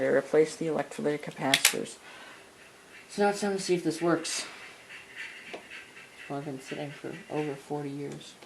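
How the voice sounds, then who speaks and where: A man giving casual, halting repair commentary with muttered asides, as a hobbyist working at a bench. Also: a little high. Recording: clearly noisy workshop recording with clicks.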